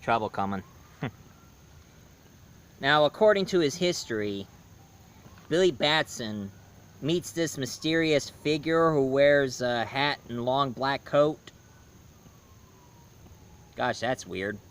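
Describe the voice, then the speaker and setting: A man giving a casual spoken review, hoarse, rambling and correcting himself mid-sentence. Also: mid-range voice, lively intonation, takes it slowly, long pauses. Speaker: movie and comics reviewer.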